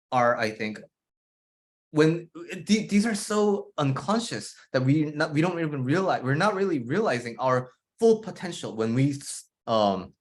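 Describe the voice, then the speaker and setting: A man speaking as an interview guest over a video call, reflective and loose, with many fillers. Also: medium pitch. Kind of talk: casual conversational interview.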